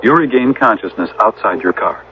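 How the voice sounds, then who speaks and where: An actor playing a calm, insistent man who probes with certainty in a radio drama. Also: lowish voice, a little hiss or hum, close to an everyday voice. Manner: probing, deliberate, certain. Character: calm, insistent man pressing unsettling truths. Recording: thin phone-like old radio recording.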